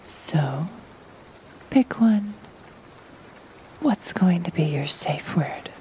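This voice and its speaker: A woman plays a sultry succubus in a flirty audio drama, with a low, dark-toned voice that lingers over each teasing phrase. She is playful yet businesslike, slipping into a breathy half-whisper over faint rain-like noise. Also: animated, drawn-out words, poor audio. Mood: sultry, reassuring.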